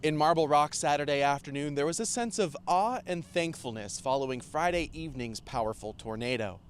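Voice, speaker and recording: A man's voice: fairly high pitch, expressive, a little fast, slight background noise. An American man narrating a scripted TV news report as a weather reporter. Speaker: TV meteorologist and field reporter.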